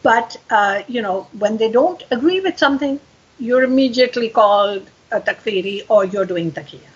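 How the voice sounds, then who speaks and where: An older woman whose first language is Urdu, speaking earnestly as a podcast guest, often pausing with fillers. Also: on the high side, lively intonation, breathy edge, lo-fi sound. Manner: earnest, many fillers and restarts. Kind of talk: podcast interview.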